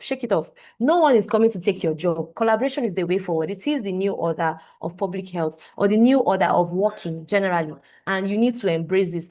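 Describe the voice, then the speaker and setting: A woman's voice: mid-range voice, a little mellow. A young woman on a video call, giving an emphatic motivational talk at a summit. She often repeats phrases and asks "right?". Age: young adult. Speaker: conference speaker. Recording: online call audio.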